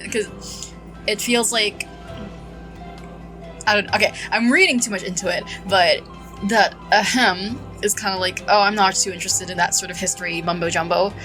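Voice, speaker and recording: A woman's voice: medium pitch, bright, forceful tone. A woman giving casual, conversational playthrough commentary, skeptical and thinking aloud as a gaming streamer. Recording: faint game music behind.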